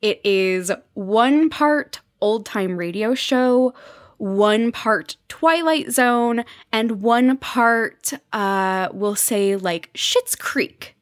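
Measured woman, dry close sound. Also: fairly high pitch, no echo. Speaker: podcast guest, journalist. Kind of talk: casual explanation in an interview.

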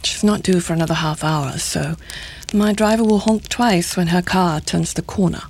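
Sly, low-voiced seductive spy handler. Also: female voice, gravelly, darkish tone, slight background noise. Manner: teasing, insinuating, deliberate formal diction. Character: sly, seductive mature female intelligence handler. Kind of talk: audio drama acting.

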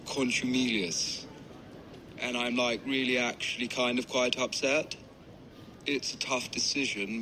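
An actor playing a hurt, awkward boyfriend leaving a halting breakup voicemail in an audio drama. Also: male voice, medium pitch. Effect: voicemail message. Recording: noisy voicemail recording.

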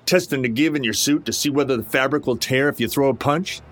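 A man playing a big, menacing, foul-mouthed enforcer in an audio drama, with a deliberate, taunting and threatening delivery. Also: mid-range voice, faint music behind, natural voice. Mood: cold menace.

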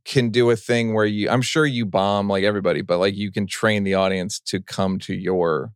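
A man's voice: lowish voice, steady tone, moderate pace, clear, resonant tone, dry recording, clean studio sound. Blunt, swearing male interviewer. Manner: blunt, profane, probing questions. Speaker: interview host. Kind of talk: casual interview chat.

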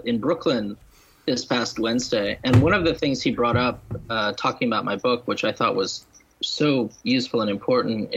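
A man speaking as a guest writer in a literary podcast discussion, halting and deliberate, restating and listing his points. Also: mid-range voice, fairly bright tone, husky, muffled recording. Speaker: guest novelist and critic.

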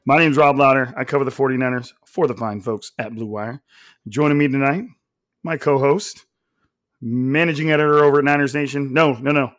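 Hoarse, raspy man hosting a podcast. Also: medium pitch, fairly quick, no echo, studio quality. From Central California. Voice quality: raspy and a bit nasal. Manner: talks loudly, energetic. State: hoarse from coaching. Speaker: podcast host. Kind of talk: casual sports podcast chat.

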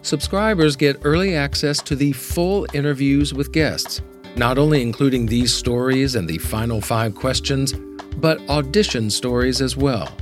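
A man's voice: medium pitch, moderate pace. A man delivering a scripted promotional read as a podcast announcer. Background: plucked guitar music.

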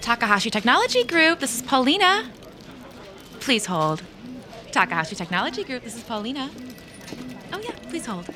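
Busy receptionist, highish swoopy voice, rote phone greetings, noisy office. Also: female voice, big pitch swings, normal speed, long pauses. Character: brisk female office receptionist answering phones.

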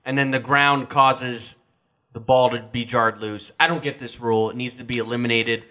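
A man's voice: mid-range voice, fairly even intonation, moderate pace, pushed and bright. A man co-hosting a casual sports podcast, ranting with irritation. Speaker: podcast co-host.

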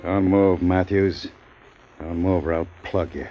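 An actor playing a cruel, threatening gangster in a 1940s radio drama, with a clipped manner, on a muffled old broadcast recording. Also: male voice, sits low, fairly even intonation, a little slow, faint music behind. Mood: menacing. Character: menacing male gangster, cruel, armed husband.

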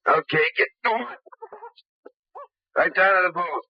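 A man's voice: high-pitched, expressive, a little slow, no echo, heavily acted. An American actor playing a menacing thug kidnapper in old-time radio crime drama, with taunting, slangy tough-guy talk. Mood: taunting menace. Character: sneering, menacing thug kidnapper, a butler gone bad. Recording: dull, muffled old radio recording.

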